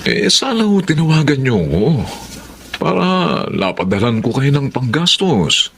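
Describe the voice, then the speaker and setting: A man's voice: medium pitch, sing-song, moderate pace, gravelly, a bit bright, slightly rough, heavily acted. A man playing a blunt, scornful grown son and uncle in a Filipino radio drama, emphatic, with a Filipino accent. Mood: concerned. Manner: dismissive, emphatic.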